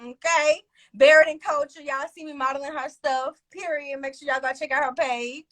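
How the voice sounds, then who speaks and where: A woman's voice: high voice, fairly even intonation, normal speed, fairly bright tone. A woman in her twenties hosting a casual interview, talking emphatically and stressing her points. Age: 20s. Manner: emphatic, punctuates points with "period". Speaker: host.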